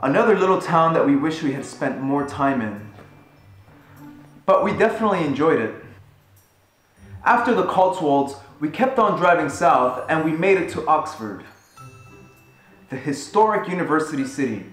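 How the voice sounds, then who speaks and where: A man's voice: on the high side, expressive, moderate pace, pauses often, a bit bright, echoey room, faint music behind. A man in his thirties, a travel vlogger, chatting casually and upbeat while he narrates a road trip from his living room. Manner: upbeat and conversational. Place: living room at home, indoors.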